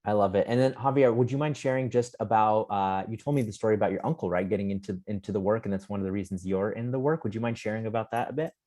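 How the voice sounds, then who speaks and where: A man's voice: fairly low pitch, quick pace, few pauses, dark tone. A man hosting an online panel in a casual, chummy way, putting questions to guests. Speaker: host of an online community panel call.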